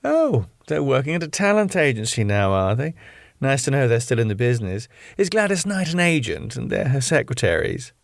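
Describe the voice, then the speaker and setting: A man's voice: medium pitch, very animated, fairly quick, creaky, no echo. Sitcom ballroom lady, played by a man: theatrical, sardonic, given to melodrama; dry, close recording. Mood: sardonic, mocking. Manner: theatrical, dramatic delivery. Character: melodramatic, sardonic woman, tango-dancing ballroom co-owner.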